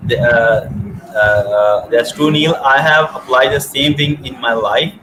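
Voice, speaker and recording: A man's voice: mid-range voice, slow pace, sharp tone. A man in his thirties hosting over a video call with earphones, speaking hesitantly with many fillers and stutters. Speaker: host. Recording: noisy video call audio.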